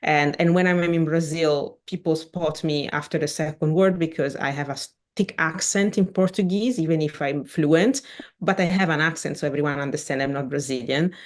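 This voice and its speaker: A woman's voice: deep voice, normal speed. A woman who grew up in Switzerland, speaking English as a second language, earnestly describing her career as a podcast guest. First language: not English. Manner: earnest, animated, with frequent restarts and non-native grammar. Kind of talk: casual interview chat.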